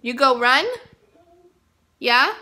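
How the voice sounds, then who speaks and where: A woman's voice: sits high, extremely slow, sharp tone. A woman in her thirties chatting casually to camera as a mom vlogger, rambling with lots of "um"s and "like"s, filmed on a phone held at arm's length in her tiled living room. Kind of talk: casual vlog chat to camera. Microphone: phone selfie camera at arm's length.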